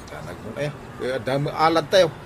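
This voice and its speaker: With clear ambient noise behind him, an actor plays a put-upon village husband in an Ilocano radio comedy. His mid-pitched voice is conversational and a bit defensive, with an Ilocano accent. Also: very animated, moderate pace. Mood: weary. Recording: noisy radio drama with ambience.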